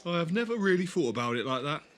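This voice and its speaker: Audio drama sidekick: male actor as kindly young man, chatty, awkward, lively intonation and bright tone. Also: fairly high pitch, fast talker, slight background noise. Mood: encouraging. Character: eager, apologetic, kindly young companion. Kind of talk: fan audio drama acting.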